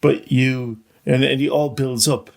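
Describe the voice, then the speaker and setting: A man's voice: mid-range voice, fairly even intonation, unhurried, creaky, darkish tone. A middle-aged or older man, a teacher, giving casual, reflective interview answers in a hesitant, self-effacing way. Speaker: maths teacher.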